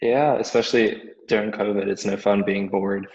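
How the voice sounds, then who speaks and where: Relaxed male interviewer in a reverberant, dull recording. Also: on the low side, moderate pace, vocal fry. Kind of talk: casual interview questions. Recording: dull lo-fi sound with long reverb.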